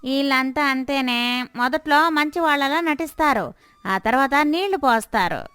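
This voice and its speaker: A woman's voice: sits high, animated, moderate pace, bright, forceful tone, no echo, soft background music. A woman playing a scornful village customer, accusing and cynical, in a moral story.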